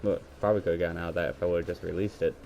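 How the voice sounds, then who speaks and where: Male gamer, low mellow scratchy voice, rambling play-by-play into a headset, faint hiss behind. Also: flat delivery, rapid-fire, creaky. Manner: rambling, self-correcting play-by-play. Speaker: gaming video creator. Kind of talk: let's play commentary. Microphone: gaming headset.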